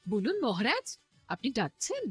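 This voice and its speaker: A woman's voice: mid-range voice, huge pitch swings, normal speed, a bit bright, husky, no echo, soft background music, heavily acted. A woman voicing a dramatic, pleading queen in a folk-tale cartoon, theatrical and coaxing. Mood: polite. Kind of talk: cartoon voice acting.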